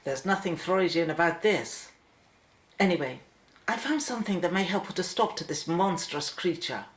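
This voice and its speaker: A woman's voice: fairly low pitch, animated, moderate pace, lightly acted. A woman playing an urgent, determined woman scientist in a radio play, grave and wary. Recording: roomy, echoing studio sound.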